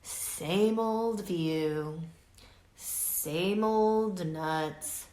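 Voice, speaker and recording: A woman reading a children's picture book aloud like a teacher, deliberate and emphatic, drawing out words and adding explanatory asides. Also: fairly low pitch, dark tone. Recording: echoey room, faint background noise.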